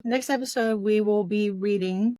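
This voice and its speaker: A woman's voice: mid-range voice, normal speed, dark tone, no echo. A woman co-hosting a podcast, chatting casually about books in a conversational style. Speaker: podcast co-host. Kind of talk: casual book discussion chat.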